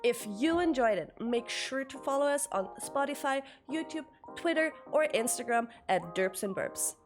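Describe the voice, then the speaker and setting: A woman's voice: sits high, lively intonation, normal speed, music in the background. A woman co-hosting a casual podcast, teasing and joking, laughing often. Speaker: podcast co-host.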